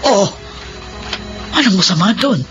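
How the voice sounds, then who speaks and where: A woman's voice: mid-range voice, animated, unhurried, over music, lightly acted. An actress playing a domineering older mother-in-law in a radio drama, scornful and cutting. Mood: curt. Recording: lo-fi with faint hiss.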